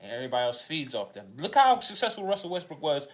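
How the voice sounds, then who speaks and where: A man's voice: a little high, sing-song, quick pace. A man in a casual sports debate, talking combatively with slang and swearing. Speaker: sports podcast panelist.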